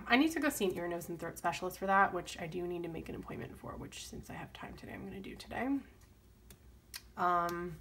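Chatty woman with a crackly voice. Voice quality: strained, crackly voice that drops deep. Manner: rambling, chatty, soft asides. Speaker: planner vlogger. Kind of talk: casual plan-with-me chatter. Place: home desk, camera overhead on planner.

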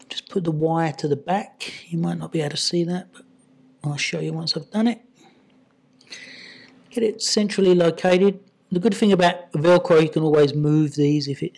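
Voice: mid-range voice, gravelly, darkish tone. A person giving an informal hands-on installation tutorial, muttering to themselves while working. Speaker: product installer and reviewer. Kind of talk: casual hands-on tutorial narration.

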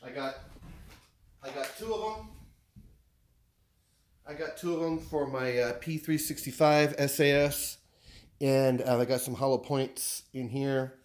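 A man with an American accent chatting casually and haltingly, thinking aloud as he shows off purchases in a living room. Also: mid-range voice. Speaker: vlogger showing purchases. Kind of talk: casual unboxing chat.

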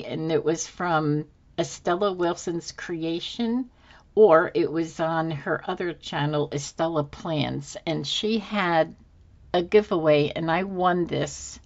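A woman hosting a crafting video at her desk, musing aloud to herself as she works, her voice a little hoarse. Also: lowish voice, dull audio. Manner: unhurried, musing to herself with fillers. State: slightly hoarse, losing her voice. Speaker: planner decorating channel host. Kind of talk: casual thinking-aloud crafting narration. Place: indoors at a desk. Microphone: overhead camera, hands only.

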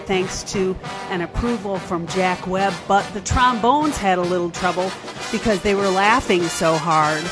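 A woman presenting a theatre company's closing announcement and cast credits. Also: medium pitch. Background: music.

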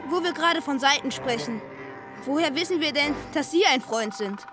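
Sulky sceptical kid brother, high voice, grumbly, faint music under it. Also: female voice, lively intonation, normal speed. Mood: suspicious, challenging. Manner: grumbling, challenging. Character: sulky, sceptical, needling young boy. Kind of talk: German audio drama acting.

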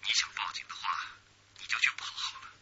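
A man's voice: quick pace, in a whisper. A male actor playing a taunting, brazen gangster in a radio drama, sneering and hissing threats over the phone. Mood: menacing, taunting. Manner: sneering, threatening, hissed breathy delivery. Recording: dull, very noisy phone-call sound.

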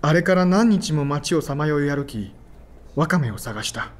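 A man's voice: fairly high pitch, animated, moderate pace, close to an everyday voice. An actor playing an earnest, emotional young man who dreams of acting, both narrating and speaking in an audio drama. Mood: reflective. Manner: earnest, emotional, sighs and pleads. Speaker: narrator and lead character. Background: soft background music.

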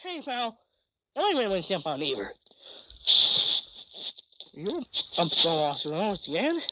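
A woman voicing an excitable cartoon character in a muffled, noisy recording. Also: on the low side, a little slow. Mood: chattering. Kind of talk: cartoon dialogue. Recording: muffled lo-fi audio, loud noise.

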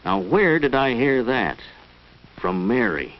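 A man's voice: medium pitch, sing-song, takes it slowly. An actor playing a flustered, plain-spoken middle-aged American family man in a 1940s educational film, with a muffled period soundtrack. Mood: musing.

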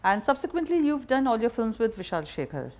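A woman's voice: on the high side, big pitch swings, a little fast, darkish tone. A middle-aged woman with an Indian accent hosts an interview, asking direct, probing questions in a conversational style. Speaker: interview host. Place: indoor bar lounge set.

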